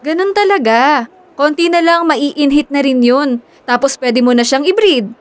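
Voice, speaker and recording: Very high-voiced teasing best friend. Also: female voice, animated, unhurried. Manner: casual, questioning, teasing. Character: practical, teasing young female best friend. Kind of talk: Filipino radio drama acting.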